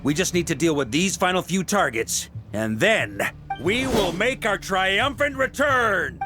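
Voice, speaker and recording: A man's voice: sits high, expressive, a little slow, music in the background. A man voicing a jovial, confident grand master of a knightly order who gives firm commands. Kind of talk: video game voice acting.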